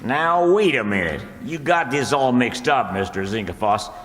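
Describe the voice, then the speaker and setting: A man's voice: medium pitch, expressive, normal speed, a crowd in the background, lightly acted. A man playing an exasperated, bossy country bill collector in a radio comedy, insistent and folksy in his rural grammar. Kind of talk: old-time radio comedy acting on stage. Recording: echoey hall with long reverb.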